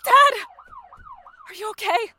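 An actress playing an earnest, loving but firm young adult daughter in an audio drama. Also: female voice, extremely high pitch, animated, takes it slowly, sharp tone, slight background noise, heavily acted. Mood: worried.

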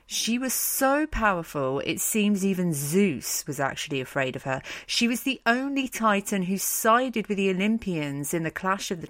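A woman's voice: medium pitch, a bit bright, no room sound. A woman hosting a podcast, telling stories in an informal scripted style with irreverent, slangy, emphatic phrasing. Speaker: podcast host. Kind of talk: informal scripted storytelling narration.

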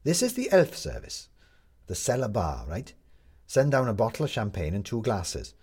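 Man voicing a brisk, sharp-tongued woman reporter, husky. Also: lowish voice, wildly swooping pitch, full character voice. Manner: brisk, wry, blunt.

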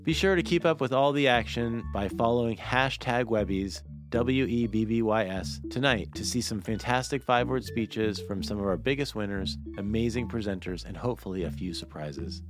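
A man's voice: lowish voice, normal speed, hardly any pauses, music in the background. A man hosting an interview podcast in a casual, conversational way, often rephrasing as he goes. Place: studio.

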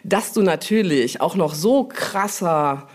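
A woman answering interview questions informally, explaining things with frequent pauses to think. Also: lowish voice, animated, a little mellow. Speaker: brand manager, interview guest.

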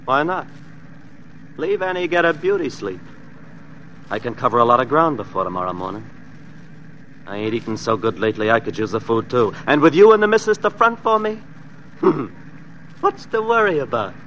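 A man's voice: medium pitch, animated, normal speed, long pauses, a bit bright, highs cut off, natural voice. An actor playing a suspicious, street-tough fugitive gunman in an old radio crime drama, bossy and clipped, with slangy speech. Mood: cocky, scheming. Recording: noisy vintage radio recording.

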